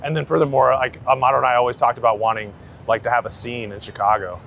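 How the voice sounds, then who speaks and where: Male street photographer, informal and slangy, mid-pitched with vocal fry, light traffic noise. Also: little pitch movement, fast, fairly bright tone. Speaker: street photographer being interviewed. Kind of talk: casual interview chat on the street. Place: outdoors on a city street.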